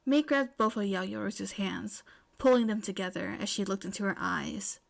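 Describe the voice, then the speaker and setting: A woman's voice: mid-range voice, moderate pace, vocal fry. A woman reading scripted fiction aloud as an amateur audiobook narrator.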